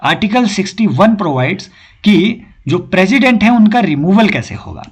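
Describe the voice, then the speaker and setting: A young man with a hoarse throat teaching an exam-prep lecture, repeating key points and checking that his listeners follow. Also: high voice, very animated. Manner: mixes Hindi with slow English phrases. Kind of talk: recorded lecture.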